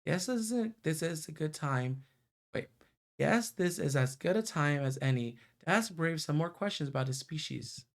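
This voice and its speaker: Male game streamer, lighter pitch, creaky edge, reads story text with off-the-cuff asides. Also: lively intonation, normal speed. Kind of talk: reading visual novel narration aloud, casual asides.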